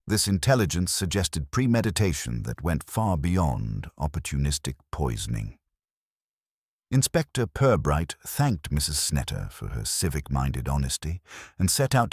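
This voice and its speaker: A man's voice: extremely low pitch, a little mellow, breathy edge, dry recording. A man narrating a scripted mystery story in a formal, dry, wry manner.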